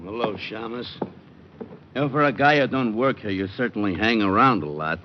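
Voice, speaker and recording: An American actor playing a surly, dim-witted police sergeant with mocking, clumsy speech in an old-time radio drama. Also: male voice, on the low side, moderate pace, lightly acted. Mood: sneering. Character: oafish, surly, sneering male police sergeant. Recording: muffled, noisy vintage radio recording.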